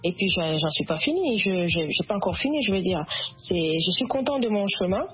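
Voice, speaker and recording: A woman's voice: lowish voice, animated, fairly quick, breathy edge, dull audio. A woman from Burkina Faso, a singer interviewed on the radio, talks with pride and often repeats and restarts her words. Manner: proud, frequent repetitions and restarts.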